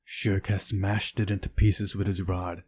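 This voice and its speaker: Low, mellow man reading a story aloud. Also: flat delivery, measured pace, breathy edge. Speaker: visual novel playthrough reader.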